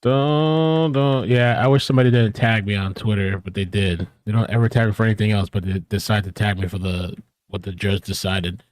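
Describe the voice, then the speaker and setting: A man's voice: lowish voice, steady tone, fairly quick, dry recording. A man hosting a live reaction stream, chatting offhand and swearing casually.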